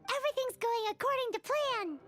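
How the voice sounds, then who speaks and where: A woman voicing a tiny, excitable female fairy companion in a video game, squeaky, childlike and eager. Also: brisk, faint music behind. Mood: conspiratorial. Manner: eager, chirpy, exclamatory.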